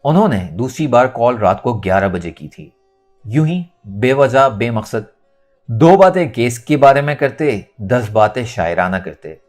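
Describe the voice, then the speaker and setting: A man narrating a dramatic novel in an expressive storytelling manner. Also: mid-range voice, measured pace, soft background music. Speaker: audiobook narrator.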